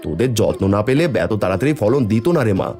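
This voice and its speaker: A man's voice: lowish voice, a little fast. A man voicing a hopeful, kindly poor farmer and family man in a cartoon. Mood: warm, determined. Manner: animated, warm to family. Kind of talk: cartoon voice acting. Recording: soft music behind the voice.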